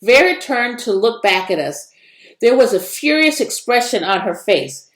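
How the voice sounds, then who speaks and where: Woman narrator, higher pitch, expressive children's read-aloud, echoing room. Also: measured pace.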